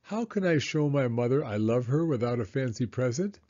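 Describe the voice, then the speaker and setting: A man's voice: mid-range voice, expressive, moderate pace, warm tone, muffled recording, close to an everyday voice. A man voicing an earnest, loving young boy turtle who asks questions in a children's storybook. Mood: earnest, puzzled.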